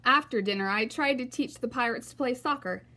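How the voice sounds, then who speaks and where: A woman reading a children's storybook aloud in an expressive narrating style. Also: fairly high pitch, fairly quick. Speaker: storybook reader.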